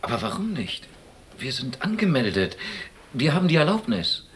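A man's voice: medium pitch, sing-song, moderate pace, close to an everyday voice. An actor playing Frank, an insistent, pragmatic German man abroad, plain-spoken and impatient in a naturalistic radio drama. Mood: indignant, insistent. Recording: hissy, noisy cassette copy of radio play.

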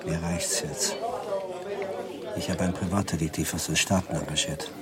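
A man's voice: low-pitched, fairly even intonation, moderate pace, close to an everyday voice. An actor playing a worried, weary older father in a radio drama. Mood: fed up. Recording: busy crowd murmur behind voice. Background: restaurant crowd.

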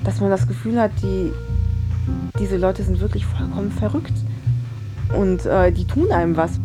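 A woman's voice: medium pitch, moderate pace. Thoughtful woman talking over music. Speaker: interviewee talking about manga. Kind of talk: casual explanatory talk.

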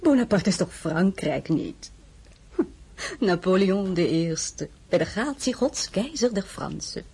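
An actress playing a worldly, mature French empress, vain and shrewd, with a languid, coaxing delivery in an audio drama. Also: female voice, mid-range voice, very animated, measured pace, warm tone, husky, full character voice. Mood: shrewd, detached. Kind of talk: acted audio drama dialogue.

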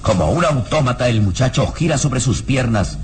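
A man's voice: mid-range voice, expressive, normal speed. A man narrating a radio serial in a solemn, florid, dramatic storytelling style.

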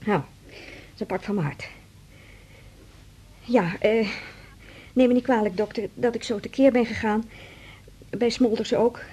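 An actress playing a stressed, complaining middle-aged daughter in a radio drama, with a husky voice. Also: female voice, mid-range voice, a little mellow.

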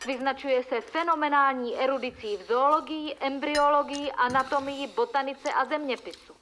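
High-voiced, formal woman presenter. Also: fairly even intonation, a bit bright, slight background noise. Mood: earnest, formal. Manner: formal, measured, scripted reporting. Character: earnest female documentary presenter reporting on a scientist. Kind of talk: scripted narration within radio drama.

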